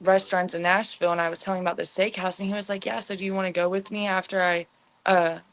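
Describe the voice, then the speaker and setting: A young woman with a mid-range voice shares stories as an interview guest. Her delivery is candid and conversational, halting in places, full of slang, "like" and quoted back-and-forth. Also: mid-range voice, little pitch movement. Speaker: interview guest, former inmate.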